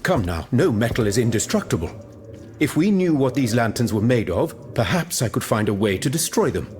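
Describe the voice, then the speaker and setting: Man acting an animated, pedantic chemist. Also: mid-range voice, a little fast, faint music behind, lightly acted. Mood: intrigued. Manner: pedantic, musing, precise. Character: confident, fussy, learned French gentleman chemist. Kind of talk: scripted video game cutscene dialogue.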